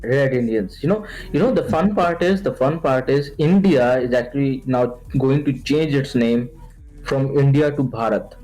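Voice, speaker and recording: A man's voice: mid-range voice, moderate pace, mellow, faint music behind, poor audio. A man who is not a native English speaker, co-hosting a live stream, chatting casually and joking with viewers and his co-host. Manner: casual, joking, says bro a lot. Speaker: livestream co-host.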